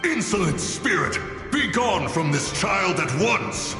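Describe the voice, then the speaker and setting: Echoing, commanding ancient immortal. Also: male voice, high voice, big pitch swings, takes it slowly, full character voice. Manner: solemn, archaic, commanding. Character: stern, commanding ancient male immortal confronting evil. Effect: echoing reverb. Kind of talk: video game voice acting. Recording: long echoing reverb, music behind.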